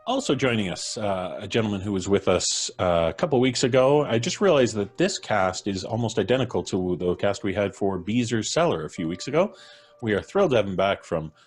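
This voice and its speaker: A man's voice: fairly low pitch, sing-song, brisk, husky. A man with a North American accent hosting a casual live stream with jovial, playful banter. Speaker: host.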